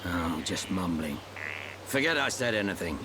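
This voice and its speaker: A man playing a gruff, caring older soldier and mentor in a game, with a British accent and blunt, colloquial talk. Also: deep voice, animated, normal speed, noisy background. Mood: muttering.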